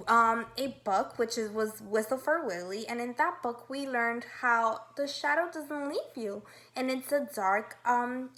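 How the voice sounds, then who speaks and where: Bright, high-voiced woman teaching young children. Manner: upbeat, instructive, asks guiding questions. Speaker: kindergarten teacher. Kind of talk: read-aloud lesson for young children.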